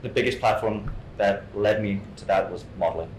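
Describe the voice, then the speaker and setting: A young man from Hong Kong talks casually as a guest in an interview. His voice sits on the low side, and he often stumbles, repeating words before carrying on. The room is echoey, with a faint noise underneath. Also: moderate pace. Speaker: guest actor. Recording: roomy sound with faint noise.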